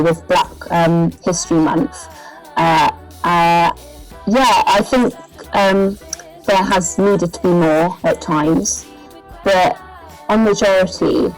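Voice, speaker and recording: A woman's voice: on the low side, a little mellow, rough recording. A woman with a Welsh accent giving casual interview answers, thinking aloud with some hesitation. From Wales. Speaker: singer-songwriter being interviewed.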